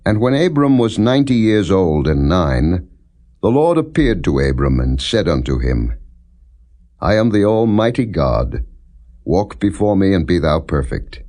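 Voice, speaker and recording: A man reading scripture as non-dramatized audiobook narration, in a solemn, measured, declarative way. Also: deep voice, big pitch swings, warm tone. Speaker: Bible reader.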